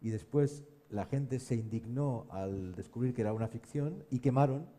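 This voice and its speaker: A man moderating a live round-table in a conversational, measured and somewhat hesitant way. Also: mid-range voice, mellow.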